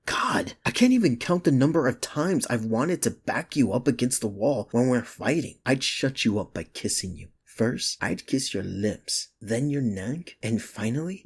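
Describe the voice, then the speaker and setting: A man playing a teasing, flustered office rival smitten with the listener, in an intimate ASMR romance roleplay with a husky, gravelly voice. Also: medium pitch, a little mellow, full character voice. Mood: heated, admiring, then flirtatious, seductive.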